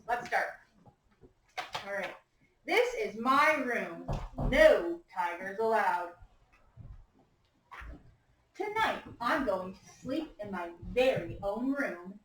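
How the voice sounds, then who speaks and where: A woman teacher reading a picture book aloud to young children, patiently prompting them with questions and gentle instructions. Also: medium pitch, lively intonation, takes it slowly, long pauses, slight background noise. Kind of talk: interactive picture-book read-aloud to a class. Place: echoey indoor room with young children. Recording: echoey room, distant microphone.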